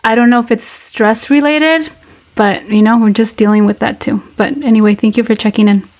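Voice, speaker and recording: Slightly high, raspy woman, hoarse, hesitant co-host. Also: fairly even intonation, brisk. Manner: hesitant, many ums. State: hoarse from talking. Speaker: podcast co-host. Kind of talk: casual chat.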